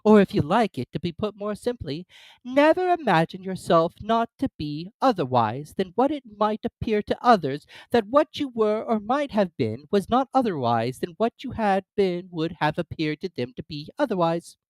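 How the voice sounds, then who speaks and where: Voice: mid-range voice, very animated, moderate pace, a little mellow, no echo, pitched above the performer's own voice, natural voice, rough recording. A reader voicing an ugly, fawning old duchess who turns everything into a moral, in a gushing, sing-song tone.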